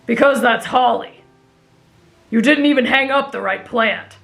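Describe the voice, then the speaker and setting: A woman's voice: on the high side, unhurried, vocal fry, a bit bright, soft background music, voice pushed down. A woman voicing a brash, headstrong teenage boy who talks in a blunt, boastful way, in an anime fan roleplay. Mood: gruff, blunt.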